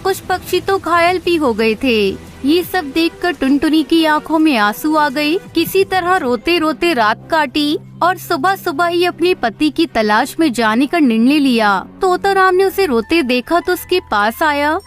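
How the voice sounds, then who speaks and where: A woman's voice: high-pitched, lively intonation, measured pace. A woman giving scripted narration for a children's cartoon story.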